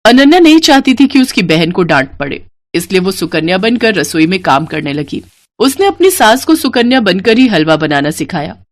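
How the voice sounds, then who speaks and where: A woman tells a moral bedtime story as scripted narration. Her mid-pitched voice moves expressively up and down, and the recording is close and dry. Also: sing-song, normal speed, no room sound.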